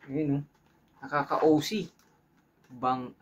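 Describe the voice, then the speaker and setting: A man's voice: on the high side, steady tone, slow pace. A man with a Filipino accent hosts a hands-on tutorial in an informal way, thinking aloud and checking in with viewers. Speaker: tutorial host. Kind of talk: casual hands-on tutorial talk.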